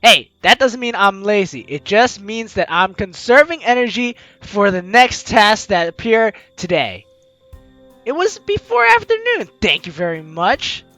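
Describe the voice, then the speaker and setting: A playful game streamer with a bright voice and very lively pitch. The delivery is teasing and full of exclamation, moving between reading aloud and commentary. It is recorded very dry, with faint music behind. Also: a little slow, no echo. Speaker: let's-play video host.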